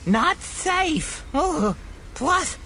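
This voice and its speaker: A woman voicing a small furry alien creature in an audio game, friendly, eager and skittish, in a loud stage whisper. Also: very high voice, very animated, drawn-out words, a little hiss or hum, heavily acted. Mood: nervous, friendly.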